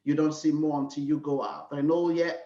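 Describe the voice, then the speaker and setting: A man's voice: fairly high pitch, little pitch movement, fairly quick, dark tone, roomy sound, far from the mic, highs cut off. A man hosting a panel talk show, moderating loosely with filler words.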